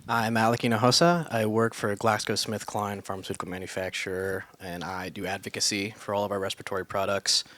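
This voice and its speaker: A man's voice: lowish voice, level tone, a little fast, hardly any pauses, a bit bright. A man from Colorado who does advocacy for a drug maker, introducing himself in a businesslike way. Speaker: pharmaceutical advocacy worker. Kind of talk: brief self-introduction.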